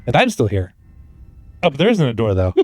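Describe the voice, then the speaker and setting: A man's voice: mid-range voice, wildly swooping pitch, fairly quick, no echo, soft background music. A man giving casual, wry, joking commentary while playing a video game.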